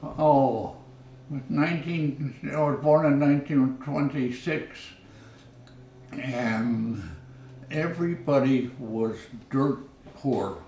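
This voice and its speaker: Slow, halting man in his nineties. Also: medium pitch, dark tone, echoey room, distant mic, a little hiss or hum, rough recording. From Minnesota, USA. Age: 90s. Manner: halting, repeats words, chuckles. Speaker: interviewee. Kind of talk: oral history reminiscing. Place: living room at home.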